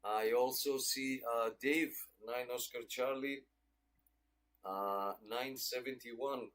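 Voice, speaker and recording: A man's voice: mid-range voice, fairly even intonation, slow, a bit bright. A man hosting a casual livestreamed radio club roll call, greeting and thanking listeners in a friendly way. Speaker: host of a radio club check-in net.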